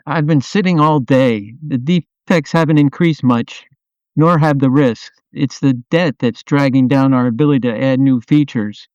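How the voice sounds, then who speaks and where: Man acting as earnest product owner, clear voice. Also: medium pitch, darkish tone, no room sound, highs cut off, lightly acted. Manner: earnest, questioning, conciliatory. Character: Jeremy, concerned, earnest male software product owner. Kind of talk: amateur radio play dialogue.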